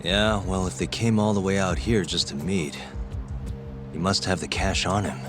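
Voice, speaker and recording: Deep-voiced, composed detective played by a man. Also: steady tone, normal speed, natural voice. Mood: calm, assured. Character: composed, street-smart male private detective. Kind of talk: English video game dub dialogue. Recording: game audio with music underneath. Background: background music.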